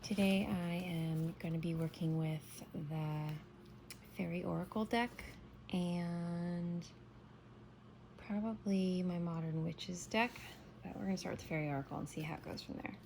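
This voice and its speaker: A woman giving a casual morning tarot reading, soft-spoken and meandering, her voice still scratchy from waking up. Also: lowish voice, fairly even intonation, normal speed, vocal fry. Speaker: tarot reader.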